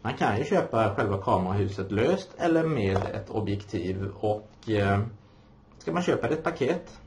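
A man in his forties giving an informal, explanatory presentation as a course instructor, halting often and posing his own questions, recorded in a small room by a camera a short distance away. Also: on the low side, animated, noisy background. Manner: halting, explanatory, with frequent pauses and self-posed questions. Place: small indoor office room.

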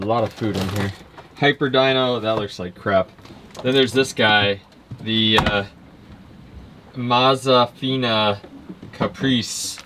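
A man in his thirties, sailing alone and talking casually to a handheld camera in a small boat cabin, relaxed and self-mocking. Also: mid-range voice, background noise. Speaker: vlogger sailing solo. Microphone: handheld camera at arm's length.

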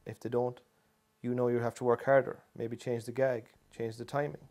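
An Irish man in his thirties speaks as a stage comedian being interviewed at home. His voice sits at a middling pitch as he explains how he works. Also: level tone, normal speed, a little mellow. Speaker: pantomime comedian. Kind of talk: interview talk. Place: living room, seated in armchair.

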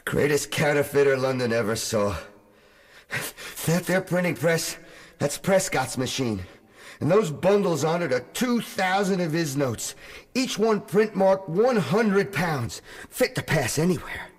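An actor with an American accent playing a cocky, unrepentant crook who chuckles and drawls slang in a radio drama. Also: male voice, fairly high pitch, lively intonation, unhurried. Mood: brash. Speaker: John Garrideb, counterfeiter's accomplice.

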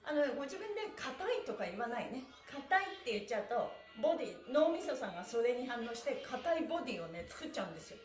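High-pitched, animated woman leading stretches. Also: fast, few pauses, soft background music. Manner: cheerful, animated, encouraging; polite, playful honorifics for body parts. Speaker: stretching instructor. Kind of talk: live exercise class with counted chants. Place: small bare-walled room indoors. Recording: echoey room.

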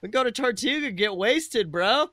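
A man's voice: very high-pitched, animated, moderate pace, sharp tone, no room sound, clean studio sound. A man co-hosting a podcast in casual comedic chat, joking with lots of slang and swearing. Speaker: podcast co-host.

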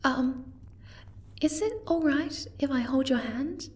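A woman voicing a gentle, timid, caring young moth girl in an ASMR roleplay, soft-spoken and hesitant. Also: sits high, takes it slowly. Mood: shy, tender.

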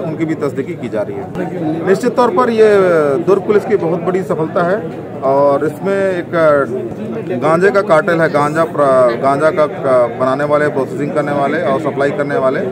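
A man in his forties, a police officer, giving a halting press statement outdoors into a bunch of news microphones, pausing often on fillers. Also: on the high side. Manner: halting, many hesitation fillers. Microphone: bunched handheld news mics. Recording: loud crowd outdoors.

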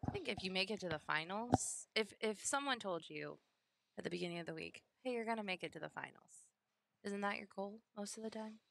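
A woman's voice: mid-range voice, lively intonation, brisk, vocal fry, a bit bright, a little hiss or hum. A woman doing live bowling commentary on a headset, chatty and giggly, often drifting off topic. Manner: chatty, giggly, easily sidetracked. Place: commentary booth at a bowling center.

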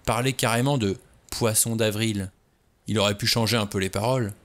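A man's voice: lowish voice, fairly even intonation, moderate pace, fairly bright tone, lightly acted. A man reading an audiobook, voicing a stubborn, suspicious middle-aged man who talks bluntly. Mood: gruff.

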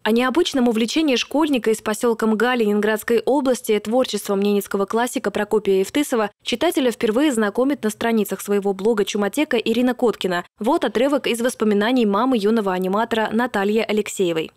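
Brisk woman news reporter, fairly high. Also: darkish tone, no echo, clean studio sound. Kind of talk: scripted news report narration.

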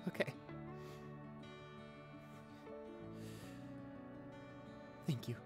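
A man playing a loving, sentimental vampire boyfriend in a romantic audio roleplay, speaking tenderly and haltingly with open emotion. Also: close to an everyday voice. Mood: flustered, happy. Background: background music.

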